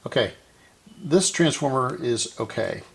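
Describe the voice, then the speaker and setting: A man's voice: mid-range voice, lively intonation, a little slow, gravelly, a bit bright. A man giving casual hands-on repair narration, thinking aloud and often correcting himself as he works. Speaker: host of a radio repair channel.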